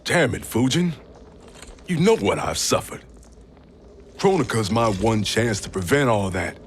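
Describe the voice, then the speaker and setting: An actor playing a gruff male soldier in a video game cutscene, blunt and slangy with a rough edge. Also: lowish voice, very animated, unhurried, a little hiss or hum. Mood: bitter. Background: game score music.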